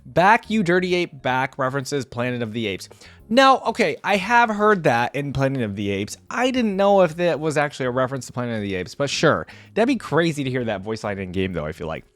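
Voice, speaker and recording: A man's voice: medium pitch, sing-song, fairly quick, a bit bright, no room sound, soft background music. A young man giving casual reaction commentary, informal and easily amused, thinking aloud as he goes. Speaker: reaction video host.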